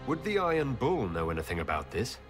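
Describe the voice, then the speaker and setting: A man's voice: medium pitch, big pitch swings, fairly quick, lightly acted. An actor playing a dry-witted male leader in a game cutscene with music behind. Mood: wry. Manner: dry, wry. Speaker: player character.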